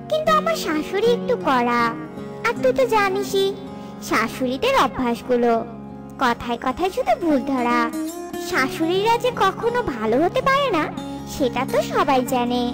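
A woman as a squeaky, gossipy cartoon bird. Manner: chummy, lively. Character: chatty, gossipy young female bird friend. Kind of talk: cartoon voice acting. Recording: loud children's music behind.

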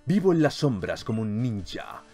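A man's voice: fairly low pitch, sing-song, normal speed, warm tone, faint music behind, voice pushed down. A man voicing a boastful, sinister ninja master from a video game, theatrical and menacing as he proclaims. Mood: menacing, gloating.

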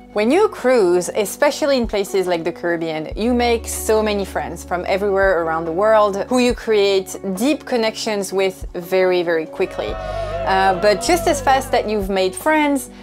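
A woman's voice: mid-range voice, darkish tone. A woman in her thirties talking casually and candidly to camera, explaining things as a vlogger on a lapel mic. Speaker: sailing vlogger. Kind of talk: casual vlog talk to camera. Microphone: clip-on wireless lapel mic. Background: background music.

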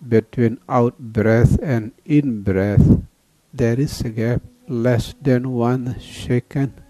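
Slow, calm man guiding meditation. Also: mid-range voice, no echo, poor audio. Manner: slow, calm, with long pauses. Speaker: meditation teacher. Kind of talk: guided meditation.

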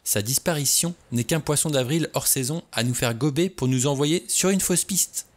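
A man reading an audiobook, voicing a frightened young woman who speaks in a high, strained, urgent way. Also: normal speed, natural voice. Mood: insistent.

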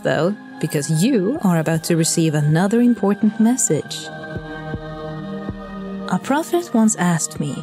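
A woman's voice: mid-range voice, warm tone. A woman playing a chatty, bureaucratic angel messenger who narrates with dry, polite condescension. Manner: politely condescending, dryly wry. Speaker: narrator and in-story host. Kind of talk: scripted narration, addressing listeners.

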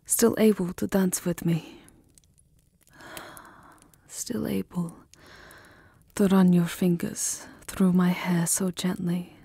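A woman playing a tender, lovesick vampire countess in an intimate ASMR roleplay, speaking softly and often dropping into a whisper, with formal, wistful endearments. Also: lowish voice, vocal fry, slightly rough, no room sound, full character voice. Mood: grateful, melancholy. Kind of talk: ASMR roleplay, soft intimate romantic acting. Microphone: close microphone, dry. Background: music.

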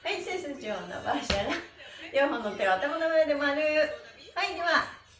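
A cheerful middle-aged woman teaches stretching in a fairly high, very animated voice. She gives her cues in a rhythmic sing-song, and the room around her sounds clearly echoey. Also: moderate pace. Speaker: stretching instructor and mime teacher. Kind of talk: livestreamed stretching lesson with rhythmic counting chants. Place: small indoor room with bare walls. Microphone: camera a few steps away. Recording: roomy echo, faint music.

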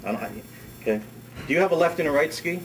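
A middle-aged man, a ski school director, demonstrating a hands-on task in a wood-paneled workshop, talking in a relaxed, chatty way and repeating words as he works. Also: on the high side, quick pace, poor audio. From United States. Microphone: camera mic on a workbench. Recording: camera audio with steady background noise.

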